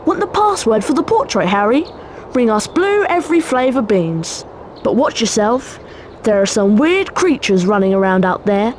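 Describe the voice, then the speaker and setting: An actress playing a young student at a school of magic in a video game, talking in a conspiratorial, cautioning way. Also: female voice, a little high, very animated, moderate pace, a little mellow, slight background noise.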